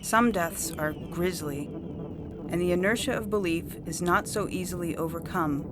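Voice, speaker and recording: A woman's voice: lowish voice, normal speed, noisy background, natural voice. A woman playing a coldly official, unyielding judge in a dramatized science fiction story reading, lecturing formally. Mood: dismissive, official. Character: coldly official female judge, zealous about majority rule. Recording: loud music bed under the voice.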